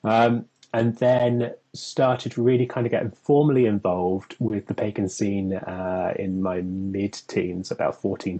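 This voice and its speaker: A husky, fairly low-voiced young man from England rambles through a casual live chat, leaning on filler words and laughing easily. sic: no mid-sentence commas needed His voice has a slightly rough edge. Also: dark tone, rough recording. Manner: chatty, rambling, laughs often, many "kind of" fillers. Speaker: co-host.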